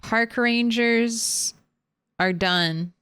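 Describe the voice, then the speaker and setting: A woman's voice: a little high, drawn-out words, a bit bright, clean tone, no room sound. A young adult woman streaming a game, chatting casually, thinking aloud and laughing often. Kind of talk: casual chat while gaming.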